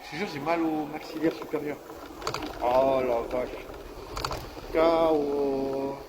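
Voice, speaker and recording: A man's voice: a little high, fairly even intonation, slow, background noise. A young man rambling in casual slang about his day, cold and coming down with a bug, recorded outdoors. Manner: slangy, rambling, self-mocking. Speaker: vlogger. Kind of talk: rambling outdoor vlog monologue.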